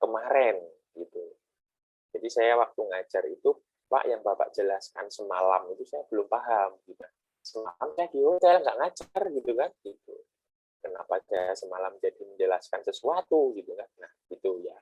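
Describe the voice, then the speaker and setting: A man's voice: lowish voice, expressive, moderate pace, a little mellow, breathy edge, dry recording, highs cut off. A middle-aged man giving a classroom lecture in a chatty, explaining way, with frequent rhetorical asides and homely analogies.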